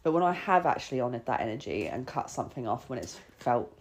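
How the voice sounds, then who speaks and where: A woman's voice: rumbling low, big pitch swings, quick pace. A woman talking casually and confessionally as a podcast host, with informal phrasing full of "like". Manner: informal, sweary, frequent "like" and self-quoting. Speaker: podcast host sharing personal experience.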